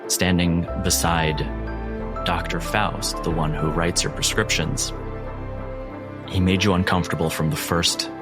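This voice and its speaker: A man narrating scenes as a tabletop game master in a deliberate, ominous manner. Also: low voice.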